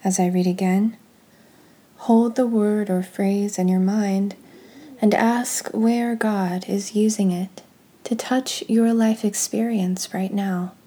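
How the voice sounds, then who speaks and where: A woman speaks extremely slowly, as if leading a contemplative prayer. Her voice is soft and mellow, with a breathy husk to it. Her delivery stays hushed and unhurried, suited to meditative scripture reading. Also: mid-range voice, steady tone. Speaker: guided prayer leader.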